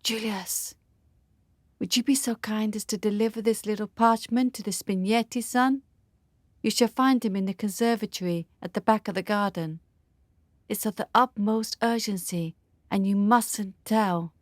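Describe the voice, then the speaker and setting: A woman's voice: medium pitch, normal speed, no room sound, clean studio sound, close to an everyday voice. A woman playing a secretive, lovelorn young noblewoman in a radio drama, genteel and confiding. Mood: urgent, secretive.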